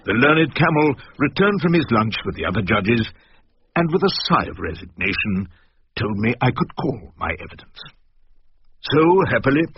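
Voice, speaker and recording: A man's voice: medium pitch, breathy edge. An actor playing a wry, stubborn older English barrister in a radio drama, rhetorical and drily pompous as he argues and narrates. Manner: rhetorical, pompous, dryly ironic. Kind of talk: radio drama acting and first-person narration. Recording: muffled radio recording with faint noise.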